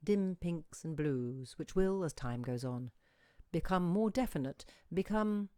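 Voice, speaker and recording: Low-voiced woman reading literary fiction, dry sound. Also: expressive, unhurried, mellow, no room sound. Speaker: narrator reading a short story. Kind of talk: expressive literary audiobook reading.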